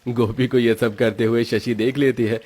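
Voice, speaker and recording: Guy narrator, middle pitch, reading out a scripted moral story. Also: level tone, normal speed, darkish tone.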